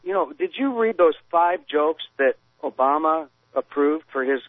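Male radio caller, rambling and argumentative, animated higher voice, heard through a phone line. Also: moderate pace, no echo. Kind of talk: phone-in conversation.

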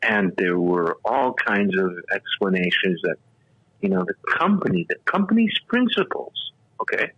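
A man's voice: on the low side, very animated, normal speed, breathy edge, dry recording. A man in his sixties, a radio talk show host, telling stories in a rambling, casual way full of fillers and restarts. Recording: dull, lo-fi radio audio.

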